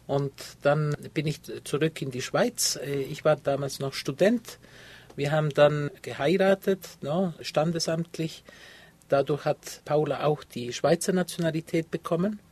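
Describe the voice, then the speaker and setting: A man's voice: fairly high pitch, fairly even intonation, a little slow, a little mellow, breathy edge. A man in his fifties from Ecuador, answering interview questions in a hesitant way with many filler sounds. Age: 50s. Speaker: guest, photographer.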